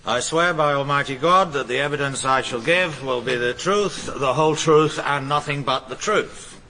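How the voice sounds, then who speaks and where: A male actor as a pompous businessman testifying in a courtroom radio play. His voice is bright and ringing, his manner blunt and cold, with faint noise behind him. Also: mid-range voice, moderate pace, natural voice, low-quality recording. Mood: self-important. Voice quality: clear, ringing tones. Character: pompous, cold businessman stepfather in the witness box.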